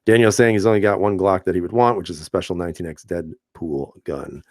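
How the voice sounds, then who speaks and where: Man, deep voice, casual live-stream host, relaxed chatty delivery, dry close-miked sound. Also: fairly quick, no room sound. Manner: relaxed, chatty, laughs often, many fillers and restarts. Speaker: channel host reading live chat.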